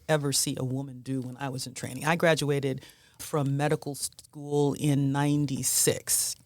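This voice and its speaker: A woman's voice: low voice, no echo, studio quality. A woman in her fifties, a physician hosting a podcast, chatting casually with guests and laughing between remarks. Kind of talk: casual panel conversation.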